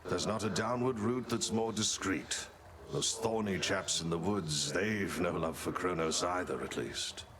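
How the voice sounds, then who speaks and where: Low-voiced, dutiful warrior comrade. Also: male voice, a little slow. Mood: steady concern. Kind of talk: video game character dialogue. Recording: clear ambient noise behind the voice.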